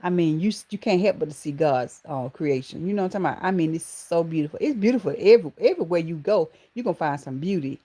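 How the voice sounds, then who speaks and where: A woman's voice: fairly low pitch, fast talker, few pauses, breathy edge. Southern woman hosting a chatty livestream. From Georgia, US. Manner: affectionate, calls viewers baby and honey, animated exclamations. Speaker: livestream host. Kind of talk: casual live chat greeting viewers.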